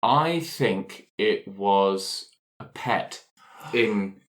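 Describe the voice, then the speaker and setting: A man telling his game character's backstory in a casual, deadpan way. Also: lowish voice, very slow. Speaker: player in a tabletop roleplaying show.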